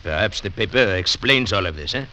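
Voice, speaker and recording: An actor playing an uneasy, superstitious Foreign Legion sergeant, in 1940s radio drama style. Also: male voice, fairly low pitch, animated, a little fast, sharp tone. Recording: noisy old radio recording.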